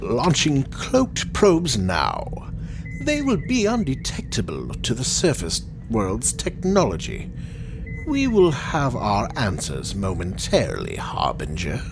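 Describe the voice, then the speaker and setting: An actor playing a frail, proud and touchy reptilian scientist in an audio drama, speaking in a pompous, formal manner. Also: male voice, mid-range voice, wildly swooping pitch, slow, creaky, background noise, full character voice. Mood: smug. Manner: pompous, formal, clipped and indignant. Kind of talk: audio drama villain acting.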